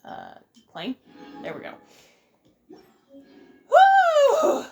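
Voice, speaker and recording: A woman's voice: very high voice, huge pitch swings, very slow, over music. A woman doing casual Let's Play commentary as host, playful and rambling with sing-song exclamations. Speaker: gaming video host.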